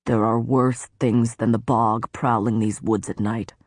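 A stern, cold male faerie lord from a storybook, clipped and scornful, as a woman narrator puts him on. His voice sits very low and sounds dry and close, with no room around it. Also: little pitch movement, unhurried, a little mellow, no echo.

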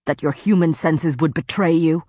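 A woman voicing a gruff male faerie lord. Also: moderate pace, dark tone, no echo, studio quality. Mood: scornful. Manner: low, clipped and scornful.

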